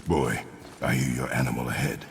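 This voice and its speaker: An actor playing a stern warrior father in a video game, speaking in terse, commanding sentences with a gravelly voice. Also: extremely low pitch, little pitch movement, a little fast, slight background noise. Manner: terse, commanding, few words.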